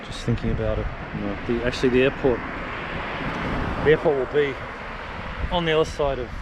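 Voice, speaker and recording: A man's voice: mid-range voice, fairly quick. A man giving casual, musing commentary as he walks outdoors through rural countryside, filming on a handheld camera. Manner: chatty, muses aloud while exploring. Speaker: travel vlogger. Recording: outdoor camera audio with noise. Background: wind and outdoor ambience.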